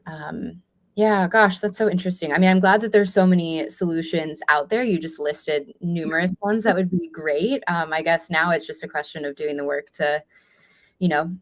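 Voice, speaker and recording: Low-pitched American woman interviewing conversationally. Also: moderate pace. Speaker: reporter hosting a conversation.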